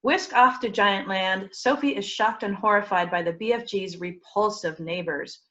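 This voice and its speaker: A woman's voice: medium pitch, moderate pace, a bit bright. A woman hosting a library program over a video call, presenting books and interviewing casually. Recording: dull video call audio.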